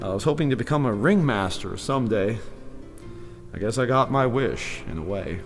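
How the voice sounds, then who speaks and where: A man's voice: mid-range voice, lively intonation, moderate pace, lightly acted. Flustered, stammering old humbug wizard acted by a man. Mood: sheepish reminiscing. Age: older. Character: timid old humbug wizard, former circus balloonist from America. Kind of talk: full-cast fantasy audio drama acting. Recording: long reverb, music behind.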